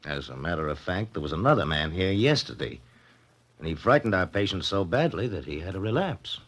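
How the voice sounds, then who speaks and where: Obliging male official, hesitant delivery with lively swings in pitch, vintage radio mystery. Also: a little fast, slightly rough, heavily acted. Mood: grave, regretful. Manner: hesitant, helpful. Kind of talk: 1940s radio drama acting.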